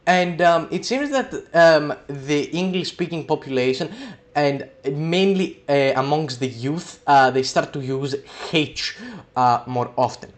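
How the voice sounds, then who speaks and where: A man's voice: fairly high pitch, unhurried. A young man, a native Greek speaker, explaining letters and sounds in a loose, rambling way, with many ums and uhs. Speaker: video creator explaining letters. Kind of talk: casual educational talk.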